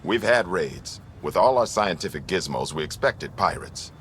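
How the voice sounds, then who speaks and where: A man's voice: sits low, animated, moderate pace, a bit bright, slight background noise. A man playing a serious, terse leader of a frontier outpost in a video game. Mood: worried. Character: gruff, dutiful outpost leader, guarded and serious.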